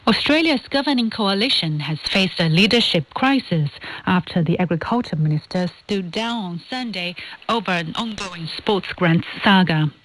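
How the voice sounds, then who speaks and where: Lower-pitched woman reading radio news. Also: a little hiss or hum, low-quality recording. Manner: formal, measured delivery. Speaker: news reader. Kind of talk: scripted radio news bulletin. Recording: shortwave radio broadcast.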